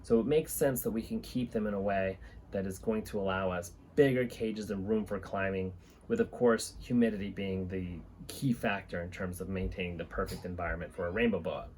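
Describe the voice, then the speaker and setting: A man's voice: low-pitched, a little fast, a little mellow. A man in his thirties hosting a hobby video, reading aloud and talking informally to camera. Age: 30s. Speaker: host of a reptile-keeping channel, reading books aloud. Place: small indoor room with reptile enclosures and a fan. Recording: clear background room noise.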